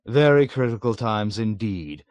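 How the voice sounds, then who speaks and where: A man voicing a petulant, self-righteous male colonel who shouts in high, whiny bursts. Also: very animated, moderate pace, no room sound, highs cut off. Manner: shrill, whiny, shouting outbursts.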